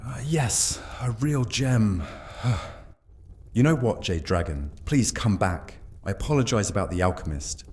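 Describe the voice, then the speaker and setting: Man as a deep-voiced, courtly vampire hunter. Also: animated, a little slow, a little mellow, husky. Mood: sheepish, exasperated. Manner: theatrical, courtly, predatory. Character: suave, menacing male vampire hunter, loyal to his mistress. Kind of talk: audio drama acting.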